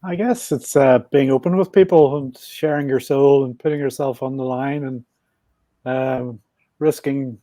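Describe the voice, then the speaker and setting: A man in his sixties with a Belfast Northern Irish accent, a podcast guest reflecting on things in a measured, thinking-aloud way. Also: mid-range voice, steady tone, mellow, no room sound. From Northern Ireland. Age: 60s. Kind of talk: casual interview talk.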